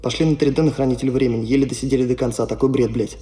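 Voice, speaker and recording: An actor narrating in an audio play as a blunt, colloquial, streetwise storyteller. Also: male voice, medium pitch, steady tone, rapid-fire, mellow, natural voice. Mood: blunt, casual. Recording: echoey hall reverb.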